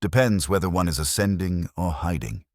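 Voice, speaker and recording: Man reading as a very deep detective inspector. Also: moderate pace, dry recording, clean studio sound. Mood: dry, musing. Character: terse, ponderous male detective inspector, drily sceptical.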